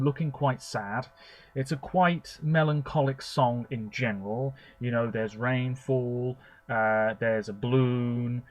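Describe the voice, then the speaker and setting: Male video blogger with a middle-pitched voice, casual and opinionated, who rambles with plenty of fillers. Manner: chatty, opinionated, with frequent fillers. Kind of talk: rambling commentary.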